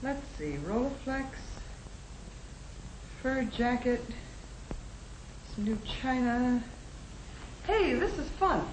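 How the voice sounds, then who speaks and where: An actress playing a spirited young American working wife who coaxes and argues, in the style of a 1950 educational film. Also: female voice, sits high, expressive, takes it slowly, pauses often. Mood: eager. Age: young adult. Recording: echoey, faintly noisy 1950 film soundtrack.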